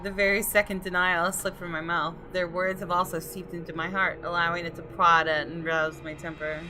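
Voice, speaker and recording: Woman, low-pitched, gaming YouTuber, dramatic story narration, prominent background music. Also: flat delivery, brisk, bright, forceful tone, rough recording. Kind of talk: reading visual novel narration aloud. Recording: music behind the voice. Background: game music.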